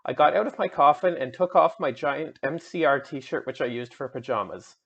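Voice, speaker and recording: Man playing an overwrought, husky teenage goth vampire girl. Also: fairly high pitch, normal speed, a bit bright, highs cut off. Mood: earnest, dramatic. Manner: overwrought, emphatic, petulant. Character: melodramatic seventeen-year-old goth vampire schoolgirl narrator. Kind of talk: dramatic fan fiction reading.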